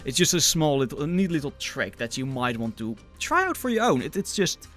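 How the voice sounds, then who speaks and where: A man's voice: on the high side, big pitch swings, a little fast, fairly bright tone. A young man from the Netherlands with a Dutch accent, giving casual, enthusiastic and self-deprecating gaming commentary as a video host. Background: music.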